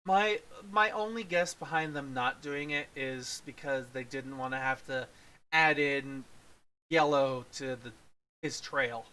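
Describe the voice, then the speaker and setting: A man's voice: medium pitch, a little slow, bright, forceful tone, smooth. A man co-hosting a casual film review, talking in a rambling way that often trails off mid-thought. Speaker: podcast co-host reviewer.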